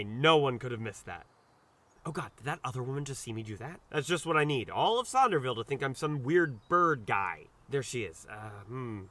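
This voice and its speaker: A man's voice: medium pitch, fairly bright tone. An actor playing an awkward, anxious young male doctor in an audio drama, rambling and scolding himself in a flustered, hesitant way. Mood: watchful, hesitant. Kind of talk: audio drama dialogue with first-person inner monologue.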